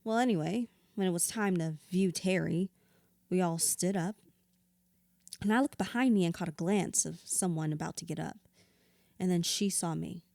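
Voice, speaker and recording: Bitter hurt widow in a therapy audio drama, raw n sweary, emotions swinging, very dry sound. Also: female voice, lowish voice, normal speed, vocal fry, darkish tone, no echo. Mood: guarded, bitter. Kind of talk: audio drama acting, confessional dialogue.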